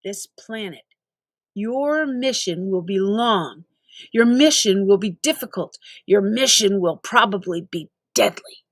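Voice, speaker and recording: Storybook drill sergeant, played by a woman: stern, very deliberate, emphatic orders. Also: medium pitch, animated, no echo, clean studio sound. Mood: stern speechifying. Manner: clipped, emphatic, deliberate commands. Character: stern, single-minded male drill sergeant, robotically repeating game instructions.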